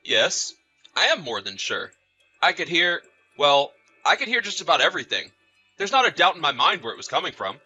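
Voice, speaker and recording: Man acting as an awkward, sharp-voiced neighbor. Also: on the high side, very animated, moderate pace, muffled recording, soft background music, full character voice. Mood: insistent. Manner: hesitant, tactful but insistent. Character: awkward, tired male neighbor making a complaint. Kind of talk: scripted dialogue in an audio drama story.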